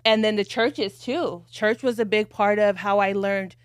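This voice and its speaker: A woman co-hosting a podcast, telling her family story in a reflective, measured way with casual fillers. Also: medium pitch, steady tone, clean tone, no echo, clean studio sound. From United States. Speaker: podcast co-host.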